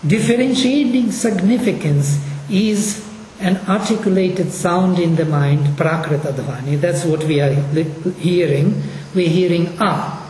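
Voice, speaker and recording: Russian accent, lecturer, mellow voice, unhurried explaining, reverberant hall, distant mic. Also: mid-range voice, slight background noise. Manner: slow, deliberate explaining. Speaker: lecturer on linguistics. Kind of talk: course lecture.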